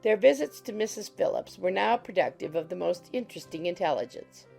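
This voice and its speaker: A woman's voice: mid-range voice, expressive, fairly quick, gravelly, warm tone, breathy edge, soft background music. A woman giving scripted audiobook narration.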